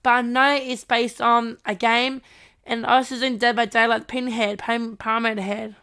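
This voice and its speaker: A woman's voice: a little high, flat delivery, normal speed, pushed and bright, clean tone. A woman co-hosting a podcast in casual chat, informal and blunt, often repeating her phrases. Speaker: podcast co-host.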